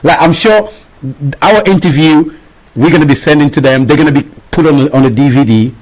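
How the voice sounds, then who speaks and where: Eager radio host who stumbles. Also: male voice, on the high side, brisk, poor audio. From Angola or Congo. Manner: eager, stumbling, repeats words. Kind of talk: live radio interview.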